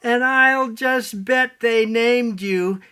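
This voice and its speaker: An actor playing a grouchy, pompous middle-aged business executive in a stage play, grumbling, weary and sarcastic. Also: male voice, very high-pitched, drawn-out words, sharp tone, smooth, full character voice. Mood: sarcastic, suspicious. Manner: grumbling, indignant, muttering, sarcastic. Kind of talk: theatrical stage-play monologue and dialogue.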